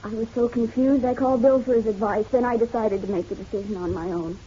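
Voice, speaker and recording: An actress playing a distraught, guilt-ridden wife in a melodramatic radio drama, halting and emotional. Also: female voice, on the high side, fairly even intonation, mellow, lightly acted. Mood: sorrowful confession. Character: distraught, guilt-ridden married woman confessing to a detective. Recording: dull lo-fi radio drama, faint noise.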